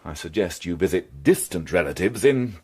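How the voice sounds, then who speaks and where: A man's voice: low voice, animated, normal speed, a little mellow, slightly rough. A man playing a sardonic, world-weary, middle-aged police inspector in a British radio crime drama, with dry, biting delivery. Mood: scornful, angry.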